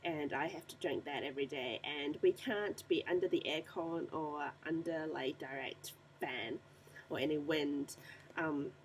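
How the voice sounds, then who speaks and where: A young woman with a croaky voice from a recent flu, chatting casually to a webcam in her bedroom with lots of ums. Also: low-pitched, measured pace, a little hiss or hum, poor audio. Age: young adult. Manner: chatty and rambling, many ums. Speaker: beauty vlogger. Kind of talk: casual unboxing vlog to camera. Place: small bedroom indoors. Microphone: webcam at desk distance.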